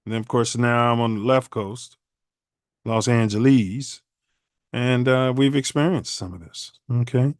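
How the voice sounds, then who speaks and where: A man hosts a casual podcast, rambling along in loose slang. His voice is smooth and clear. The sound is dry and close. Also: mid-range voice, a little slow, no echo. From Chicago. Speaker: podcast host. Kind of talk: casual livestream podcast chat.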